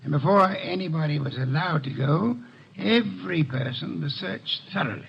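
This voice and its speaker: An actor playing a shrewd, unruffled older detective in an old radio drama, speaking formally and with dry amusement. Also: male voice, a little high, expressive, gravelly, slightly rough, heavily acted. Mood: grave, courteous. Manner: formal, measured, drily amused. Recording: muffled old radio broadcast recording.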